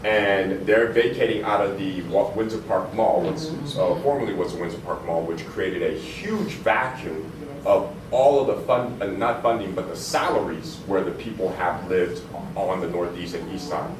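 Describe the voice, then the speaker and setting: A man from San Antonio speaking up at a town hall meeting with a big, forceful voice. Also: sits low, normal speed. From San Antonio, Texas. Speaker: community attendee speaking up. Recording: echoey room from far off, noisy.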